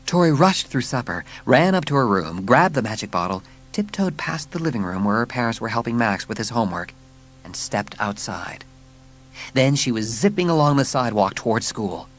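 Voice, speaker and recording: A man's voice: medium pitch, big pitch swings, moderate pace, faint music behind, poor audio. A man narrating a dramatised children's story with scripted, dramatic storytelling.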